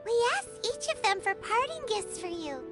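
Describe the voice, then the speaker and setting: A woman's voice: very high voice, sing-song, moderate pace, loud music behind the voice. A woman voicing a tiny, chatty, childlike companion in a video game, blunt and curious.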